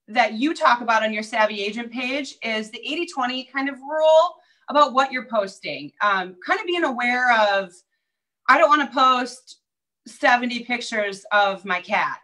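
A woman's voice: sits high, expressive, normal speed, sharp tone, low-quality recording. A woman with a Minnesota accent, a real estate agent chatting upbeat as a guest over a video call. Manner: chatty, upbeat, many fillers. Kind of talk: casual interview chat.

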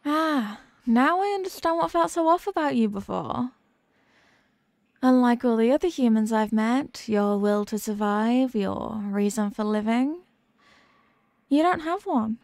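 Woman acting a slow, haughty demon queen. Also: fairly high pitch, expressive, darkish tone, no room sound. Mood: probing, curious. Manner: condescending, mocking, deliberate and teasing. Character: haughty, sadistic, possessive demon queen toying with a human. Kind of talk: scripted ASMR roleplay addressed to the listener.

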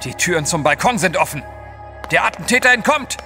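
A level-headed gentleman hero of an audio drama. His delivery is forceful and lively in pitch, emphatic and solemn, with a long reverb around it. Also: a little fast, faint music behind, heavily acted. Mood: urgent.

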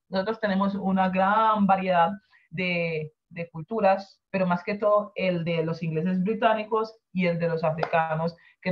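A woman whose first language is San Andrés Creole, teaching an online class and slowly explaining and translating each word. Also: lowish voice. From San Andrés Island, Colombia. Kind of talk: online class over a video call. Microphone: video call. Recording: dull lo-fi video call audio.

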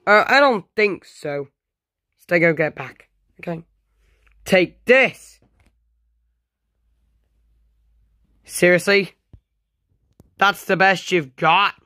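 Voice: mid-range voice, slow, long pauses, bright, forceful tone, no room sound. Anxious dinosaur hero for a homemade story, acted by an amateur, loyal and dramatic, in a dry recording. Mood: alarmed defiance. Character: frightened, loyal dinosaur hero protecting friends.

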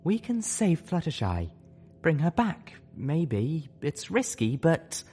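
Man voicing a high, heartfelt refined mare. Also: huge pitch swings, slow, warm tone, breathy edge, faint music behind, exaggerated voice. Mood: insistent. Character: Velvet Remedy, refined female pony, doubtful, pleading, heartfelt.

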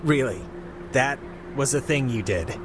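A man's voice: mid-range voice, expressive, a little slow, echoey room, background noise, close to an everyday voice. A young man playing a plain-spoken, skeptical teenage boy with casual, terse delivery in a video game. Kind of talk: naturalistic video game dialogue.

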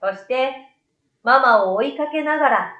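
A woman's voice: sits high, moderate pace, a bit bright. A middle-aged woman reading a children's story expressively as a storyteller into a desktop microphone in a small room. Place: small bright indoor room. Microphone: desktop condenser microphone to the side. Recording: roomy, slightly dull sound.

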